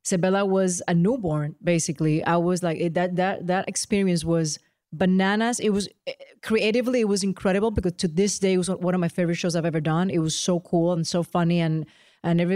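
A woman in her thirties from Puerto Rico, a native Spanish speaker, hosting a casual interview and telling lively stories. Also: fairly low pitch, fast talker, dry recording, studio quality. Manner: lively, chatty, storytelling with slips into Spanish. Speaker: podcast host.

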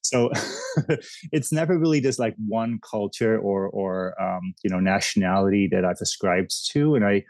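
A man from the Netherlands with a Dutch accent, chatting as a podcast guest, talking haltingly with lots of restarts and fillers. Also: fairly low pitch, darkish tone, no room sound. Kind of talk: casual interview chat.

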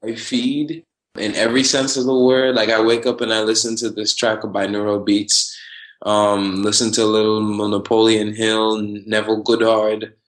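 A man in his twenties from Trinidad and Tobago, talking casually as a podcast guest, animated and quick to laugh. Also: fairly low pitch, poor audio. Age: 20s. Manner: animated, laughs often, spiritual slang-heavy talk. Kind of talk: casual conversational interview.

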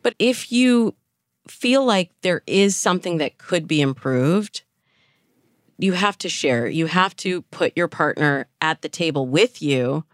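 A woman's voice: lowish voice, animated, measured pace, no echo, studio quality. A woman speaking as a dating coach and interview guest, explaining relationship advice conversationally with illustrative examples.

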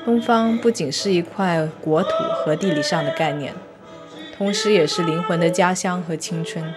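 A woman narrating a scripted first-person arts audio log in a reflective, measured, literary manner. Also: fairly low pitch. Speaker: host. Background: music.

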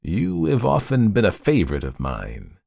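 A male reader puts on a devilish visitant from a literary storybook, uncannily courteous. The speech is measured, pleasant and calm, with a kindly severity. It is recorded very dry. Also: fairly low pitch, vocal fry, dry recording, exaggerated voice. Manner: polite, measured, pleasant and unhurried. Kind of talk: dramatized literary storybook reading.

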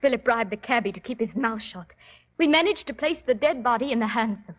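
A genteel young society lady from a 1940s radio mystery. She is theatrical and quick to take offence, with a highish voice in a muffled vintage recording. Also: animated. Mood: distressed. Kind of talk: 1940s radio drama acting.

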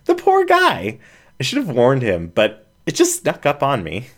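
A woman's voice: extremely low pitch, huge pitch swings, a little slow, heavily acted. A reader voicing a shy young female raccoon who talks in hesitant, emotional bursts. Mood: shy, tender.

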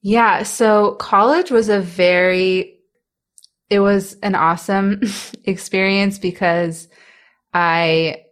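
Slow-talking young woman with a stutter. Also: medium pitch, fairly even intonation, smooth. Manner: stutters, with repeated sounds and restarts; soft-spoken, laughs between thoughts. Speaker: interviewee. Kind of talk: candid personal interview.

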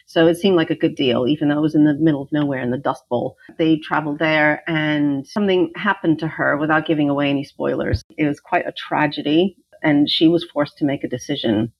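A woman author whose first language is Ukrainian talks through her book with animated, fluent storytelling. Her voice has a gravelly, husky edge. The sound is dry and dull, like a lo-fi remote recording. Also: deep voice, fairly quick, no room sound. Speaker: author, guest. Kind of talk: interview about her novel.

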